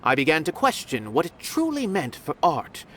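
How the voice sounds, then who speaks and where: An actor playing a middle-aged, worldly art connoisseur in a video game, speaking in a measured, formal and lofty way. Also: male voice, a little high, huge pitch swings, a bit bright, a little hiss or hum. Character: worldly male art connoisseur, grave and refined. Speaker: former stage performer turned art critic. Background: game music.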